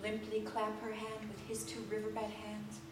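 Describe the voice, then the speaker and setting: A woman's voice: medium pitch, monotone, normal speed. A woman performing a literary narration as one of the readers in a live staged reading. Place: theater stage, recorded live. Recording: roomy, distant live theater recording, noisy.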